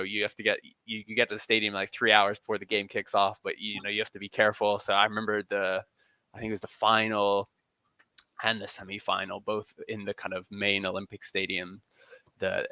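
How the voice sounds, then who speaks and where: A man's voice: fairly low pitch, little pitch movement, fairly quick, bright, forceful tone, no echo. A man speaking as an interview guest, explaining things in a casual, conversational way with frequent "kind of" and "you know". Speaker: interview guest, football journalist.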